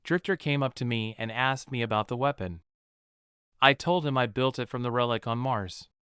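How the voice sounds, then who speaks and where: A man giving a scripted first-person narration as an in-character game audio log, in a smooth, clear voice. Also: mid-range voice, moderate pace, bright, forceful tone, dry recording, clean studio sound.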